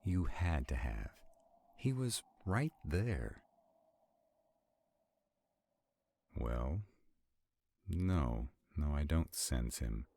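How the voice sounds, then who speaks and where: A man playing an arrogant, stubborn vampire in a roleplay audio drama, with a deep, gravelly, husky voice and a haughty, deliberate delivery. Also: very animated, warm tone, no room sound, exaggerated voice. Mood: irritated, demanding. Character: arrogant, stubborn male vampire, irritated and skeptical.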